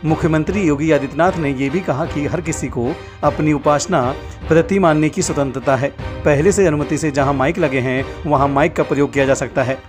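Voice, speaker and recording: An Indian man narrating a scripted news report as a voice-over. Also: on the high side. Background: prominent music bed.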